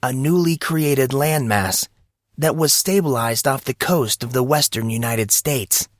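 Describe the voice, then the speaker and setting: A man's voice: mid-range voice, unhurried, no room sound, studio quality, voice pushed up, lightly acted. A man voicing a smug, theatrical teenage boy news anchor, delivering grandiose oratory as a mock broadcast in an audio story.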